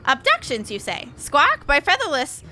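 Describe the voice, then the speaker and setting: A woman voicing an excitable bird-beastman from a video game, speaking in inverted sentences punctuated by squawks. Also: high-pitched, moderate pace, a little hiss or hum, pitched above the performer's own voice, heavily acted. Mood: vengeful. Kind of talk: game dialogue read aloud in character.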